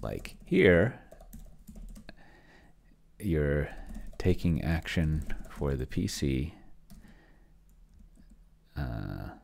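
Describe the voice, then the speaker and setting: A middle-aged man hosts a casual screen-share in a very low voice. His intonation moves around freely, with plenty of vocal fry. He rambles and mutters, thinking out loud as he reads and types. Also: sing-song, extremely slow, long pauses, a little hiss or hum. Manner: rambling, muttering to himself while reading and typing. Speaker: host, solo roleplayer testing an AI dungeon master. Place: indoor home room with shelves.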